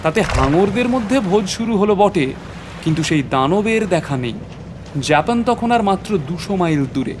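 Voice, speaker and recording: An actor playing an adventurer who narrates a suspenseful sea voyage in a dramatic, literary storytelling style. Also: male voice, fairly high pitch, noisy background, close to an everyday voice.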